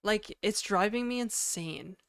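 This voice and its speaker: A hoarse woman streamer thinking aloud casually as she codes live, swearing and full of fillers. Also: mid-range voice, a little slow, fairly bright tone, dry recording, clean studio sound. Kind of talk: live coding stream commentary. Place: home apartment.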